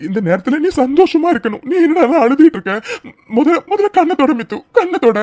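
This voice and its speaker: Excitable young husband and father-to-be, played by a woman: high voice, stammering and gushing, dry audio-drama delivery. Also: fast, no echo. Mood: tender, elated. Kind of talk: emotional serial-style audio drama acting.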